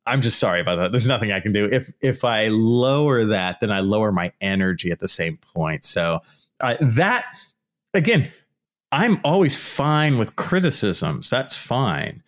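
A man with a naturally loud, shouty voice, ranting casually and animatedly in a vlog about archaeology comments. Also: on the low side, animated, unhurried. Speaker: archaeology YouTuber.

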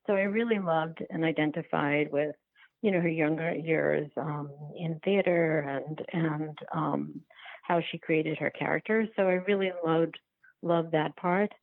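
A woman speaking casually as an interview guest, halting and restarting her sentences, over a thin phone-like line. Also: deep voice, creaky, no room sound. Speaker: interview guest, documentary filmmaker.

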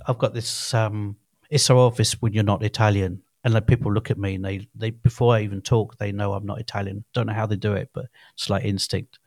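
A man's voice: on the low side, darkish tone, no echo, clean studio sound. A man in his fifties co-hosting a podcast, talking casually in a rambling, chatty way that often restarts mid-sentence. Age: 50s. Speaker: podcast co-host. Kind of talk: casual chat.

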